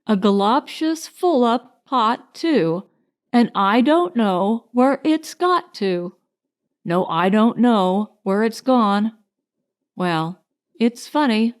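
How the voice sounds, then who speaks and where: A woman voicing a slow-witted, rueful, honey-loving storybook bear in a murmuring, singsong way. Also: on the high side, lively intonation. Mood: murmuring, puzzled. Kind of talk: storybook character voice.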